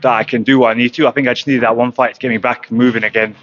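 A young man from Croatia, a professional boxer, speaking in a matter-of-fact way with many pauses and ums in an interview at a gym. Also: mid-range voice, level tone, a bit bright, highs cut off. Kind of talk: casual interview. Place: boxing gym, indoors. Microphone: handheld mic held close.

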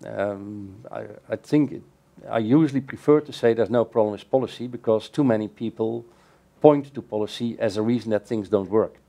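Man, low voice, mellow tone, measured explanations, panel Q&A, bright room by windows. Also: creaky. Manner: measured, explanatory, frequent restarts. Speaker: keynote speaker. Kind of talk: answering questions in a panel discussion. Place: bright room by large windows over a canal.

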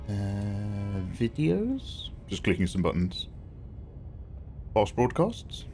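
English guy streaming, pitch jumps all over, gets hyped and swears a lot. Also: slow, soft background music. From England. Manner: excitable, swears freely. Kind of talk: casual live-stream chat.

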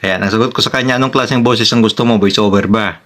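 A man's voice: fairly low pitch, fast talker, a bit bright. A man with a Filipino accent hosting a casual step-by-step tutorial in a polite, conversational, instructive manner. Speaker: tutorial host.

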